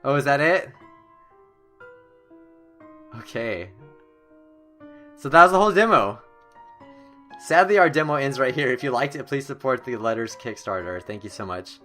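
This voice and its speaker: A young man hosting a horror game playthrough, reading the story text aloud in an excitable, dramatic way and stumbling now and then. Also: medium pitch, normal speed, pauses often, soft background music. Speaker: gaming video host.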